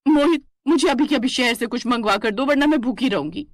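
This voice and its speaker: A woman voicing a spoiled young city daughter-in-law, whiny, haughty and demanding, in an animated family story. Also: high voice, a little fast, no room sound, clean studio sound, pitched above the performer's own voice. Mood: disgusted, complaining.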